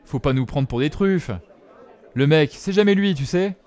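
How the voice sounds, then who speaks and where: A man acting a chatty café regular in an audio drama, pitched a little high. He gossips in loose, mocking slang and tags his remarks with little questions. A crowd murmurs around him. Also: moderate pace, darkish tone, clear, resonant tone, natural voice. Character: chatty, gossipy male bar regular commenting on the news.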